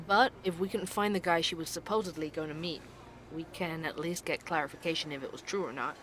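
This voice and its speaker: Young investigative podcast host for audio drama, played by an actor; inquisitive, mid-pitched, background ambience. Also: brisk, a bit bright, a little hiss or hum, lightly acted. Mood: wry, friendly. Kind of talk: audio drama dialogue and narration.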